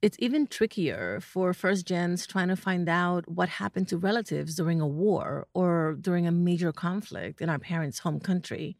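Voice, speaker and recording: A woman's voice: lowish voice, animated, normal speed, creaky, hardly any pauses, darkish tone. A woman hosting a podcast, asking earnest, reflective questions of her guest. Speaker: podcast host. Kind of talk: podcast interview.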